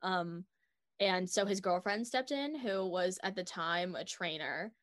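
A woman's voice: lowish voice, unhurried. A young woman from North Carolina co-hosting a podcast, telling stories in a chatty, giggly way full of fillers. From North Carolina, USA. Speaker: podcast co-host. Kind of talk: casual podcast storytelling.

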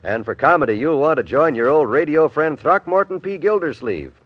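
An American man announces a 1950s radio promo in a medium-pitched, sweeping voice. His scripted delivery is polished and enthusiastic, on a dry, dull vintage recording. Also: animated, brisk, no echo.

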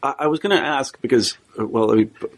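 A middle-aged man hosts an interview podcast in a halting, conversational way. He restarts sentences often and fills pauses with "uh" and "um." His pitch sits in an ordinary male range. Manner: hesitant, restarts with fillers. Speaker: podcast host.

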